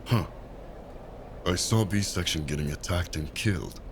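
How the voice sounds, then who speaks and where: Deep, defiant unwilling recruit. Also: male voice, unhurried. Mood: wary, explaining. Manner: questioning, exasperated, profane. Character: defiant, sardonic unwilling male recruit in a task force. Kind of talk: audio drama acting. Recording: loud vehicle and ambience noise behind.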